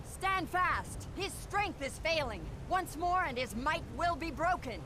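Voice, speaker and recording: Fearless fantasy-game shieldmaiden; high, wide-swinging, measured battle declamation, buried in heavy noise. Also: female voice, lively intonation. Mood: commanding shout. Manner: bold, rousing, declamatory. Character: fearless, battle-hungry Norse warrior woman. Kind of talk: fantasy video game voice acting. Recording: very noisy game sound effects. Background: loud noise and ambience.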